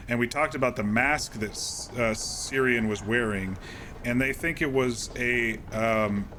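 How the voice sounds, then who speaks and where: A man streaming a game, casually recapping events in a calm, rambling way with many fillers and restarts. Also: mid-range voice, a little hiss or hum.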